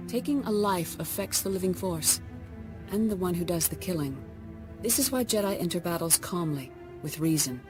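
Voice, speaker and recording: Actress doing a serene female jedi council leader, measured, low voice, game music behind. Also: animated, close to an everyday voice. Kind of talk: video game voice acting.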